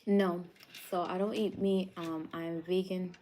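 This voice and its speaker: Chatty low-voiced woman cooking live. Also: unhurried, a little hiss or hum. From New York. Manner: chatty, relaxed, laughs often, answers viewer questions. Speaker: cooking show host. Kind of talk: casual live-stream chat while cooking.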